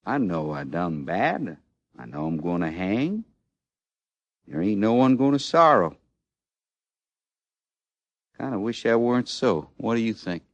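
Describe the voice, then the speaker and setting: A doomed outlaw of the American West for a radio drama. He speaks low in a dry, unechoing space, with a rustic Western drawl. His delivery is halting, folksy and full of plain regret. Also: big pitch swings, no room sound, muffled recording, exaggerated voice. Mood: wistful. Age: 30s. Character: condemned thirty-six-year-old frontier outlaw, rueful and plainspoken. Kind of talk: mid-century American radio western acting.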